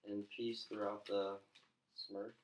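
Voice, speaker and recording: A young man with a lowish voice argues his side in a joking podcast chat with friends. He swears freely. The room is echoey, with a faint noise underneath. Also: little pitch movement, slow. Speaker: podcast co-host. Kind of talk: casual chat among friends.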